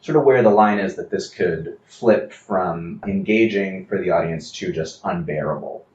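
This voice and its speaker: A man's voice: low voice, normal speed, darkish tone. A man hosting an interview, putting considered, analytical questions at some length in an articulate way. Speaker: podcast interviewer. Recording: roomy, slightly muffled, faint noise.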